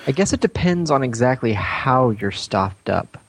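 A man's voice: mid-range voice, very animated, normal speed, gravelly. A man co-hosting a podcast in casual chat, with a wry, playful way of talking. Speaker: podcast co-host.

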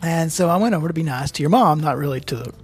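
A woman's voice: deep voice, animated, rapid-fire, a little mellow, husky, faint music behind. A woman from Texas with a Texan drawl, chatting casually and telling a story with laughs. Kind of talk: casual chat.

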